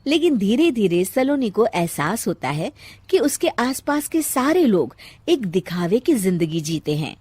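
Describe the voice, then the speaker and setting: A woman's voice: mid-range voice, sing-song, unhurried, a little mellow, slightly rough, dry recording. A woman narrating a scripted story as a storyteller.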